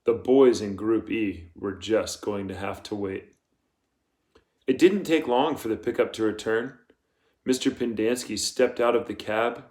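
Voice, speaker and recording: A man's voice: on the low side, unhurried, a little mellow, echoey room. A man reading a children's novel aloud as scripted audiobook narration. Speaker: audiobook reader.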